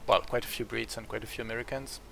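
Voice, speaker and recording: Gravelly French man with hesitant chat. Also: medium pitch, fairly even intonation, fast, pushed and bright, a little hiss or hum, rough recording. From France. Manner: rambling, full of hesitations and restarts. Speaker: strategy game streamer. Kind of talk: casual chat while playing.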